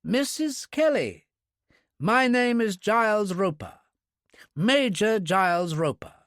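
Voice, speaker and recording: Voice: mid-range voice, lively intonation, fairly bright tone, dry recording, clean studio sound, pitched above the performer's own voice. A narrator voicing a courteous British army major who speaks formally and directly. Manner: polite, formal and measured. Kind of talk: audiobook character voice.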